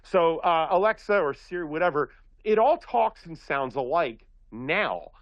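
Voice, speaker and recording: A man from Philadelphia holds forth on a talk show, telling stories in long, looping sentences full of restarts. His fairly high voice swings widely in pitch as he gets going. The audio is dry and a little dull. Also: measured pace, no echo. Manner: rambling, animated storytelling with many restarts. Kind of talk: casual talk-radio chat.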